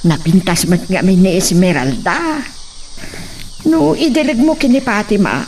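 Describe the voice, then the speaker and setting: A woman playing a sharp-tongued, bickering member of a household in an Ilocano audio drama. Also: mid-range voice, a little slow, distant mic, a little hiss or hum, close to an everyday voice. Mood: teasing, alarmed. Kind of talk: Ilocano radio-style audio drama acting.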